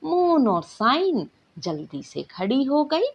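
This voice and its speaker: A woman's voice: high-pitched, big pitch swings, slow pace, gravelly, husky, muffled recording. A woman reading a scripted bedtime story as its narrator.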